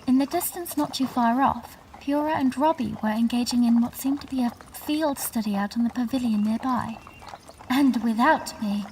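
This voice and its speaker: A woman playing a gentle young princess who narrates her memories in a scripted audio drama, measured in delivery. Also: on the high side, close to an everyday voice, rough recording. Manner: measured, storytelling.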